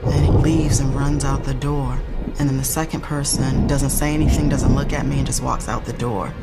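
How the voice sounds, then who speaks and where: A woman's voice: rumbling low, fairly even intonation. Woman retelling a traumatic night. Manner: halting, emotional retelling in the present tense. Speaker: interviewee. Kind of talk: recounting a personal tragedy on camera. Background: soft music.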